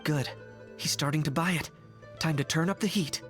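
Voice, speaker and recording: A man voicing a cool, dry young man in an anime-style game, measured and deadpan as he directs others. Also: on the high side, soft background music, lightly acted.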